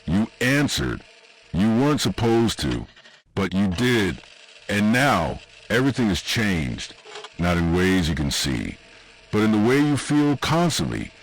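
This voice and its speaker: A man reading scripted horror narration in an ominous, deliberate way, with short dramatic phrases and pauses. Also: low voice, slight background noise, rough recording. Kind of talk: scripted second-person analog horror narration.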